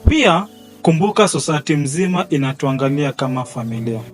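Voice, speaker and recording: A man plays a middle-aged Kenyan father in a radio drama, proud and admonishing. His voice sits slightly high, with music softly underneath. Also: animated, fairly quick, close to an everyday voice. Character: proud, admonishing father of a college-bound daughter. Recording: music behind the voice. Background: background music.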